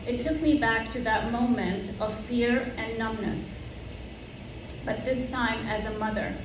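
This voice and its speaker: Slow, earnest woman in her fifties. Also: fairly high pitch, fairly even intonation. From Lebanon. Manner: slow, earnest, read from notes. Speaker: club member giving a talk. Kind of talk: prepared personal speech. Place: club meeting room. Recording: roomy room from far off, with background noise.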